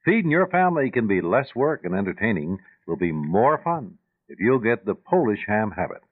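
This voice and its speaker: A man's voice: lowish voice, sing-song, moderate pace. A man reading a scripted radio advertisement as a commercial announcer. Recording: tinny old radio broadcast.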